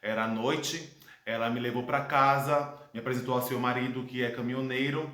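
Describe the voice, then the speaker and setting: A man's voice: mid-range voice, fairly even intonation, a bit bright. Brazilian man telling his story in an echoey room. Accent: Brazilian Portuguese. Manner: earnest, reflective storytelling with dramatic pauses. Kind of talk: personal testimony monologue. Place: his home, indoor room.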